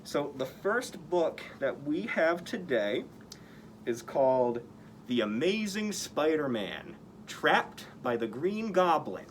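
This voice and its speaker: A man's voice: fairly high pitch, big pitch swings, takes it slowly, a little hiss or hum. A man reading a picture book aloud to children as a costumed story-time performer. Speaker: costumed story-time reader in superhero persona.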